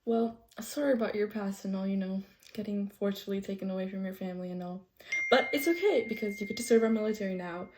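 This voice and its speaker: Young woman, mellow soft-edged tone, polite halting delivery, scripted school-project tour guide. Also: mid-range voice. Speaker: student presenter playing a loyal Janissary tour guide. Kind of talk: scripted history project presentation in character.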